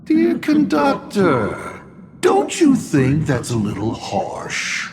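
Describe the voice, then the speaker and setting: Theatrical trickster die, wildly swooping. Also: male voice, on the high side, huge pitch swings, takes it slowly, noisy background, heavily acted. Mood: mock-polite. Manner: theatrical, smooth-talking, mock-polite. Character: talking die, theatrical, teasing male trickster. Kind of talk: video game voice acting.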